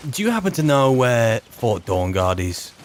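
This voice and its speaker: A man's voice: medium pitch, big pitch swings, moderate pace, slight background noise. A man improvising in-character commentary as he roleplays through a video game, musing and wry as he chats.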